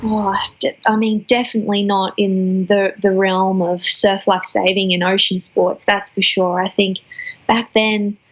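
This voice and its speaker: A young woman in her twenties answering interview questions thoughtfully, often pausing and restarting phrases. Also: medium pitch, flat delivery, dull audio. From Australia. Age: 20s. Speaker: guest athlete.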